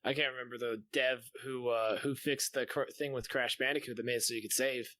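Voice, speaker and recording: A man's voice: medium pitch, fairly even intonation, brisk, bright, forceful tone, no room sound. A man co-hosting a podcast, chatting casually in a slangy, exclamatory way with plenty of swearing. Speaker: podcast co-host. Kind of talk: casual chat, animated storytelling.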